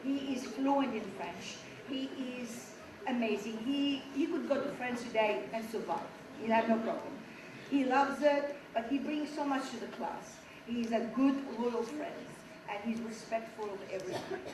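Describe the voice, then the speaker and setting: A woman's voice: on the high side, normal speed. A woman teacher giving a heartfelt award speech to a student at a school assembly. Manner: warm, heartfelt praise. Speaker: French teacher. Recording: echoey hall, distant mic, background noise.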